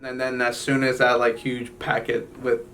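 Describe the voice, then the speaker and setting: Young man from Guatemala, gravelly flat voice. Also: medium pitch, distant mic, soft background music. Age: young adult. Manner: halting, many fillers and restarts. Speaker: interviewee. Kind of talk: personal story in an interview.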